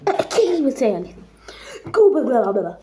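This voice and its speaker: A young woman reacting to a video with excitable, drawn-out exclamations and giggles. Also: high voice, reverberant, noisy background. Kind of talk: casual reaction commentary.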